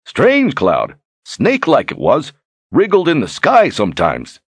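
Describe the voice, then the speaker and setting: A man's voice: fairly high pitch, huge pitch swings, unhurried, husky, no room sound, studio quality, pitched above the performer's own voice, heavily acted. A man voicing curious, credulous rustic tavern regulars with folksy, plain-spoken talk, as in an audiobook. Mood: intrigued. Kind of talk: audiobook character acting.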